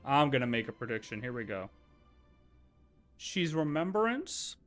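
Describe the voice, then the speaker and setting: A man's voice: medium pitch, big pitch swings, unhurried, a bit bright, soft background music. A man livestreamer reacting casually to a video, thinking aloud and cutting himself off in off-the-cuff commentary.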